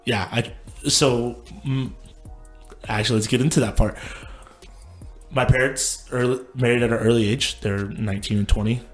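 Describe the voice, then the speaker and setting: A man's voice: medium pitch, steady tone, normal speed, soft background music. A man in his late twenties from Texas, telling his life story as a podcast guest in a loose, rambling way, with lots of fillers and casual swearing. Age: late 20s. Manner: loose and profane, lots of "like" and restarts.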